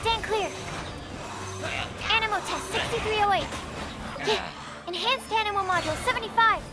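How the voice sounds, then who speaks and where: A woman voicing a tiny, excitable, squeaky female creature in a video game. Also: moderate pace. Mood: excited. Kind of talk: scripted video game voice acting. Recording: loud game music behind.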